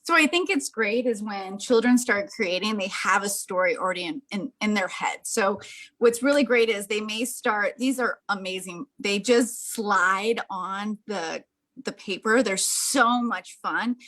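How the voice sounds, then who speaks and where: A woman's voice: mid-range voice, a little slow, a bit bright. An enthusiastic, gushing woman giving a casual, hands-on art demonstration.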